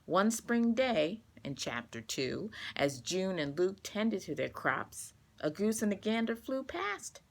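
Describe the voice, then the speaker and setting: Lively storybook woman with a creaky edge. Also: medium pitch, slow, few pauses. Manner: expressive, clearly paced storytelling for young listeners. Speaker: children's story reader. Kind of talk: read-aloud children's storybook narration.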